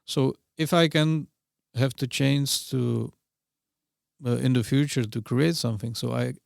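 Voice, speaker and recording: A middle-aged man with a Czech accent, chatting as a podcast guest. He speaks slowly and haltingly, with lots of fillers, and his voice is gravelly. The sound is close and dry. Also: medium pitch, fairly even intonation, no echo. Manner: hesitant, many fillers and broken phrasing. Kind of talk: casual interview chat.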